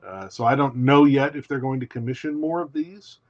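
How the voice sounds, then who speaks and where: A man's voice: mid-range voice, brisk, no echo, muffled recording. A man being interviewed as a guest writer on a podcast, speaking in an articulate, methodical way and often posing rhetorical questions.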